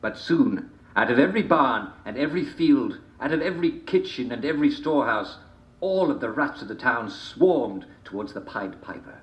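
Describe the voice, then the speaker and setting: A man telling a dark fairy tale in a theatrical, deliberate manner, with repeated phrases and dramatic pauses. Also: mid-range voice, animated. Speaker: storyteller reading a folk tale. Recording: dull, roomy, echoing home recording.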